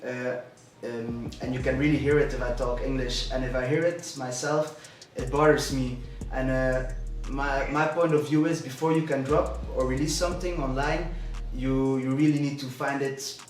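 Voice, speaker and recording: A man's voice: medium pitch, fairly even intonation, normal speed, few pauses. A man from Belgium with a French-tinged Belgian accent, a rapper answering interview questions in a hesitant way with many restarts. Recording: echoey room, distant mic, music behind.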